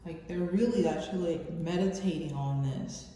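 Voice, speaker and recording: Woman, tarot reader, unhurried halting delivery, dark soft-edged tone, long reverb, faint noise. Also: fairly low pitch. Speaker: tarot reader, channel host. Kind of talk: intuitive love reading, spoken off the cuff. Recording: very echoey room, faint background noise.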